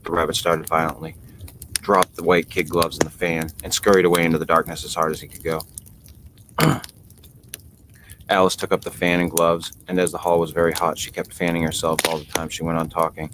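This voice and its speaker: Low-voiced man reading a storybook, noisy recording. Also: flat delivery, fairly quick. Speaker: podcast host reading a bedtime story. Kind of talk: storybook narration. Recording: noisy home podcast recording.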